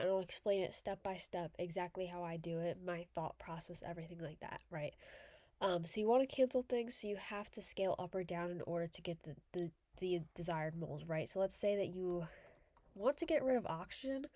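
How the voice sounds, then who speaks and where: A woman's voice: fairly low pitch, mellow, dry recording. Congested young woman explaining chemistry. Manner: chatty, filler-heavy, self-correcting. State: congested from a cold. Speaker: student tutor. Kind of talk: casual chemistry walkthrough video.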